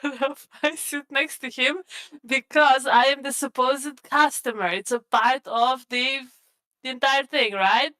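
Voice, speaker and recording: Female tabletop player, crisp and forward high voice, casual improvised banter. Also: fairly even intonation, measured pace, bright, forceful tone. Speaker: player in a tabletop role-playing game.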